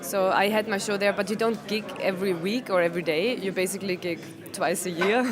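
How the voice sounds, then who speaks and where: A woman's voice: medium pitch, fairly even intonation, a crowd in the background. Austrian woman comedian, laughing often. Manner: laughs often, halting non-native phrasing. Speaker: stand-up comedian, interview guest. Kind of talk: casual interview answers. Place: music venue.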